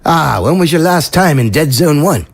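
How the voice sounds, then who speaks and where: An actor playing a courteous, knowledgeable chauffeur with a husky voice and ornate, formal speech in an audio drama. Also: male voice, on the high side, animated, moderate pace, heavily acted. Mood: proper, informative.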